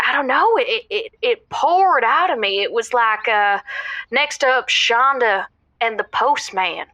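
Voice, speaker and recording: A woman playing a middle-aged housewife calling a radio show in an audio drama, rambling, earnest and excitable. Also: on the high side, no room sound. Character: chatty middle-aged Southern housewife caller, proud and giddy.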